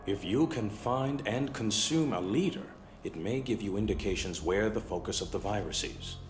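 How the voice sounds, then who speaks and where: Man playing a deep-voiced clinical scientist. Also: animated, natural voice. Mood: clinical, measured. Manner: measured, clinical, explanatory. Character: clinical, analytical male scientist briefing an ally. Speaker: scientific informant in a video game. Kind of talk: expository game cutscene dialogue. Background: music.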